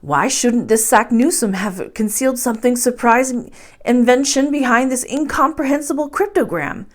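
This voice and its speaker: A woman voicing an excitable, obsessive old male professor in a storybook reading, speaking emphatically. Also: a little high, normal speed, pitched above the performer's own voice, close to an everyday voice. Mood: triumphant. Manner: emphatic, declaiming.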